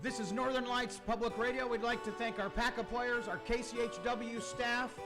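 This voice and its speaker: A man's voice: extremely high pitch, steady tone, normal speed. A man acting as radio announcer, reading closing credits and thanks for a live broadcast. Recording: loud music over live radio broadcast. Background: loud music.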